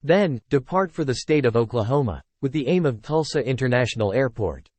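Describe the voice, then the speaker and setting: A man's voice: mid-range voice, expressive, fairly quick, darkish tone, dry recording. A man reading scripted first-person story narration. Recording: music bed under the voice. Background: music.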